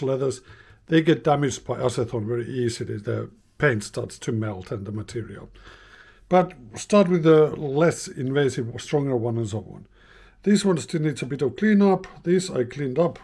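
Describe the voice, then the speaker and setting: Mellow-voiced man thinking aloud. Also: fairly high pitch. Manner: hesitant, thinking aloud, informal. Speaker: host of a vintage radio repair channel. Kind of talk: unscripted hands-on demonstration talk.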